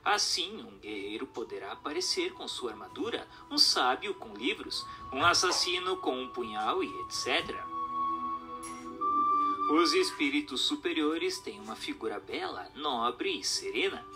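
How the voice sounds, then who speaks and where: A man reading a formal text as scripted narration in a measured, didactic manner. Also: mid-range voice, big pitch swings. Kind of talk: scripted audiobook-style narration of a spiritist text. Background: music.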